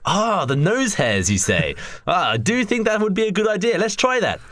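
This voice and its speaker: A man's voice: high voice, sing-song, normal speed, a bit bright, no echo, clean studio sound. A man playing a dim, bossy President Bush character, blustering through an amateur comic radio play. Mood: bossy, scheming.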